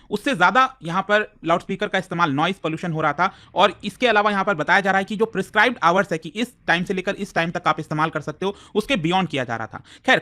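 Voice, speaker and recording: An Indian-accented man presents news as an explainer. His voice sits high and sounds bright, and he talks at a quick pace. He teaches as he goes, setting up questions and answering them himself. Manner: didactic, poses questions then answers them. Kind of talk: explanatory news commentary to camera or screen.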